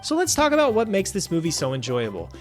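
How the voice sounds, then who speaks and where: A man hosting a film review show, reading a scripted review with wry, animated asides. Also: high voice, fast talker, soft background music. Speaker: film review podcast host.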